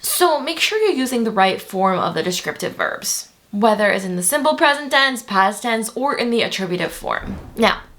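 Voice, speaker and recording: A woman's voice: on the high side, very animated, normal speed, fairly bright tone. A woman presenting a chatty, playful video lesson, speaking straight to her viewers. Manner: chatty, playful, addresses viewers as "you guys". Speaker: language teacher.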